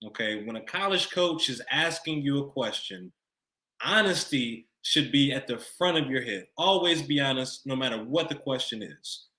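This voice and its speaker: An American man with a bright, forceful voice in a roomy, echoing space. He coaches the listener like an expert advisor, stressing key points and repeating them for emphasis. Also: mid-range voice, expressive, moderate pace. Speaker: college recruiting expert. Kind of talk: advice to camera for students.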